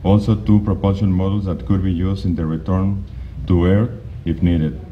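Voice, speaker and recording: A man giving a conference slide presentation, speaking haltingly with frequent restarts and fillers. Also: deep voice, level tone. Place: conference hall. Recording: dull, lo-fi recording with faint noise.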